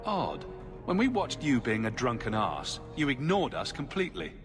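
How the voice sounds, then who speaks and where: A man's voice: mid-range voice, huge pitch swings, moderate pace, over music. A man playing a dry-witted, sardonic young adventurer hero in a video game. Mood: sarcastic. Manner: dry, wry.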